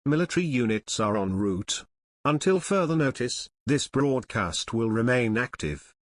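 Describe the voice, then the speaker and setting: A man reading a scripted emergency announcement as a broadcast announcer in a formal, measured manner. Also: medium pitch, lively intonation, dry recording, clean studio sound.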